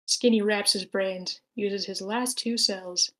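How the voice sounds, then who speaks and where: Woman narrating screenplay action, medium pitch. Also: fairly even intonation, takes it slowly, a bit bright. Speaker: stage directions narrator.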